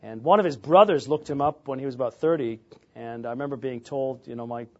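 A man in his fifties, from England, giving an informal lecture on church history, often pausing on filler sounds and restarting. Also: mid-range voice, dull audio.

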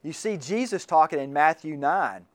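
Man, slightly high voice, informal classroom lecturer, talks in restarts and asides. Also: lively intonation, moderate pace. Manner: conversational, repeats words while restarting sentences. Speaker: lecturer on missions and medicine.

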